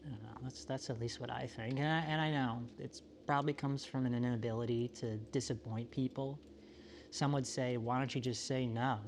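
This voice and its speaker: A man playing a solitary, introspective apocalypse survivor in a found-footage monologue, talking in a halting, self-questioning, confessional way. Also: medium pitch, steady tone, slight background noise, natural voice. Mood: weary, reflective. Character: solitary, world-weary, introspective male survivor of a quiet apocalypse.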